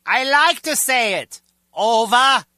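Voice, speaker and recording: A man's voice: very high-pitched, expressive, slow pace, sharp tone, dry recording, studio quality, full character voice. An actor playing a petulant, suspicious and literal-minded male lab assistant in a comic video game, talking in a stilted, insistent way. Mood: stubborn, formal.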